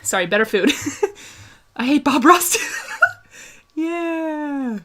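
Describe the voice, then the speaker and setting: A woman's voice: high voice, lively intonation, extremely slow, a bit bright. A young woman from Hungary hosting a casual fan discussion, chatting through viewer questions with lots of laughs, fillers and restarts. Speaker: host answering viewer questions.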